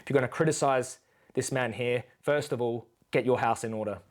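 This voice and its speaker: A man's voice: medium pitch, steady tone, fairly quick. Earnest man giving admonishing reaction commentary. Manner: earnest, admonishing, rhetorical questioning. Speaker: animal rights commentator.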